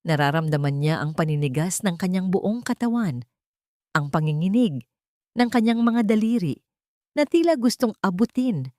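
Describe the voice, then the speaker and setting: A woman's voice: on the low side, animated, normal speed, warm tone, dry recording, studio quality. A woman reading a scripted story as a dramatic audiobook narrator.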